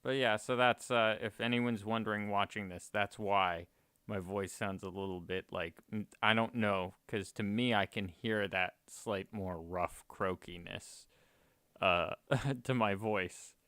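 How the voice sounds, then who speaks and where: A man's voice: lowish voice, steady tone, unhurried, fairly bright tone, clear, resonant tone, no echo. A man streaming a game and chatting casually, rambling and correcting himself with small laughs. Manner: rambling, self-correcting, chuckling. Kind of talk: casual chat while gaming.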